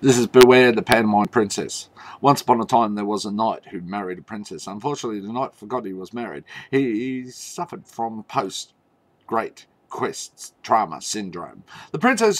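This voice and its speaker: A man telling a mock fairy tale in a theatrical, tongue-in-cheek way, with halting pauses and knowing asides. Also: on the low side, creaky, husky. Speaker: storyteller.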